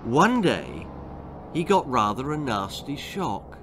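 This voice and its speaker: A man's voice: medium pitch, big pitch swings, takes it slowly, very noisy. A man in his sixties reading a children's horror-comedy story aloud as its author, theatrical and relishing the grisly detail. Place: small indoor book-lined room. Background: music.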